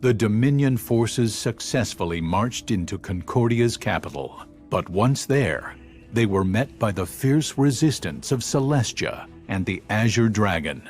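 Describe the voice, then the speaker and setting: An actor playing a solemn chronicler, giving formal, measured scripted narration of a war in a video game. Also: male voice, on the low side, lively intonation, a little mellow, faint music behind. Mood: grave.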